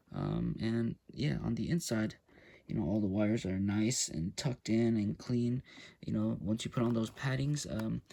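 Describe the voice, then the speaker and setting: A man talks viewers through a hands-on install in an easygoing, unhurried way, pausing often with fillers. His voice is husky with a gravelly edge, at an ordinary pitch. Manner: relaxed, hesitant, frequent fillers. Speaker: YouTube motorcycle gear reviewer. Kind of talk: casual step-by-step install walkthrough.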